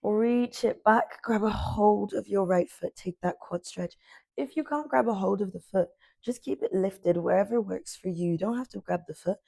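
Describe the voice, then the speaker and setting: A woman in her twenties guiding a morning stretching class, cueing softly and unhurriedly as she moves. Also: mid-range voice, moderate pace, creaky, no room sound. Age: 20s. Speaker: yoga instructor. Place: sunlit living room with large windows.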